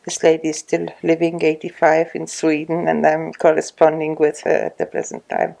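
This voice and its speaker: A woman in her sixties, from Estonia, speaking English with an Estonian accent in an oral history interview at her home, slow and hesitant with many pauses. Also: low voice, completely flat delivery, vocal fry, mellow, poor audio. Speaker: retired social worker interviewee.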